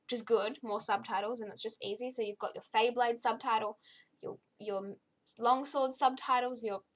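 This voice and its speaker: A young woman reviews a video game in a loose, chatty way, her fairly high voice a little croaky today. She gets easily exasperated and fills her talk with ums and restarts. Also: steady tone, normal speed. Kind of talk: casual spoken game review.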